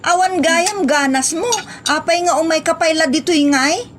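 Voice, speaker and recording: A woman plays a very high-pitched, rude and scheming young waitress in an Ilocano comedy drama. She is sassy and brash, with an Ilocano accent and a mocking way of answering back. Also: moderate pace, noisy background.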